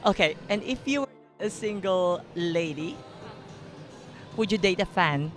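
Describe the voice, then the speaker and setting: A woman's voice: mid-range voice, fairly bright tone. A woman interviewer asking light, teasing questions with a giggly manner. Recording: music playing behind.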